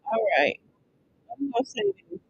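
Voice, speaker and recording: A woman's voice: a little high, huge pitch swings, moderate pace, husky, no room sound, muffled recording. Blunt woman podcast host commenting. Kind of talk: casual commentary.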